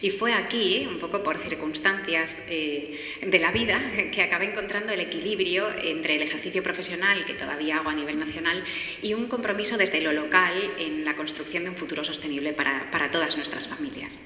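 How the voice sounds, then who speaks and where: In a reverberant hall with faint noise, a woman with a Spanish accent from Spain gives a public presentation. Her voice is bright and forceful, slightly low for a woman. She explains things in an orderly way, pausing to find words. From Galicia, Spain. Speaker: lawyer giving a forum talk. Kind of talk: semi-formal public presentation. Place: indoor forum hall.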